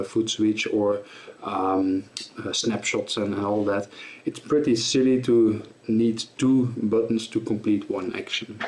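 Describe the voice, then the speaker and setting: A man giving an informal tutorial explanation as a presenter, in a halting, self-correcting way. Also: fairly low pitch, darkish tone, rough recording. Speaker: presenter of guitar gear tutorial.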